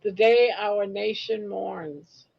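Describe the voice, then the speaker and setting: A woman in her sixties on a live video chat speaks bluntly and matter-of-factly. Her voice is mid-pitched and the sound is a bit dull and muffled. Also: slow, gravelly, breathy edge. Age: 60s. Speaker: regular guest. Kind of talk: casual chat.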